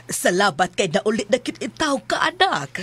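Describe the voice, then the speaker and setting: A woman acting as a warm, insistent middle-aged mother in a radio drama, with a Cebuano Filipino accent. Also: on the high side, sing-song, moderate pace, music in the background. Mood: welcoming, delighted.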